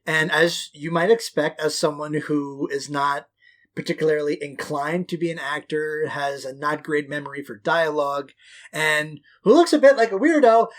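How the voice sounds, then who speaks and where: A man's voice: fairly high pitch, a bit bright. Rambling, profane man from West Quebec. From West Quebec, Canada. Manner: rambling, profane, self-deprecating storytelling. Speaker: podcast co-host. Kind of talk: casual podcast chat.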